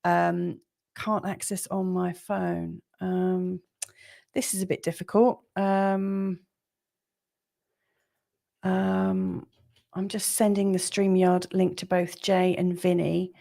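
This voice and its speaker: A woman hosting a casual live stream, with a slightly croaky voice and a rambling, chatty manner full of fillers. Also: on the low side, level tone, slow pace, long pauses, dark tone. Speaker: livestream host.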